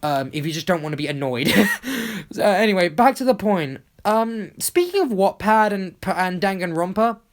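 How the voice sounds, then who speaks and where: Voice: mid-range voice, sing-song, normal speed. Enthusiastic, rambling YouTube creator. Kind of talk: casual rambling video voice-over.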